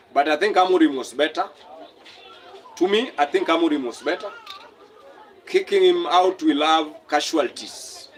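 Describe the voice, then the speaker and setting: A young man from Kenya runs a casual live stream, talking straight into a phone mic. His voice sits a little high for a man, and he repeats phrases and greets viewers by name as he goes. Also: poor audio. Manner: reads viewer comments aloud and repeats phrases.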